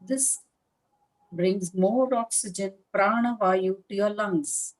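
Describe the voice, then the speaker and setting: A woman leading a guided meditation as a teacher, speaking in a calm, measured manner with long pauses between short phrases. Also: mid-range voice, poor audio. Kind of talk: guided meditation instruction, live session.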